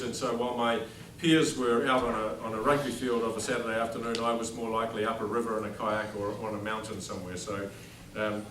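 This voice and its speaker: A man's voice: mid-range voice, fairly bright tone. New Zealand man speaking across an echoey hall. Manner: self-deprecating, dry jokes, speaks without a microphone to a room. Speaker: headmaster giving an after-dinner speech. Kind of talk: humorous after-dinner speech. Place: large dining hall at a formal dinner. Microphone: no microphone, recorded from across the room. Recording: distant echoey hall with background noise.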